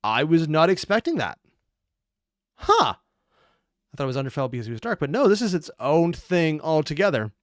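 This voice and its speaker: A man's voice: on the high side, big pitch swings, moderate pace, long pauses, no room sound, studio quality. A man giving casual, off-the-cuff reaction commentary. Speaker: reaction video host.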